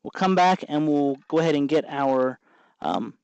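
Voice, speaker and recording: Clear-voiced man giving a casual hands-on tutorial. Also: fairly high pitch, expressive, moderate pace, a little mellow, dry recording, muffled recording. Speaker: host of a DIY electronics tutorial. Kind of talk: casual hands-on tutorial, explaining while working.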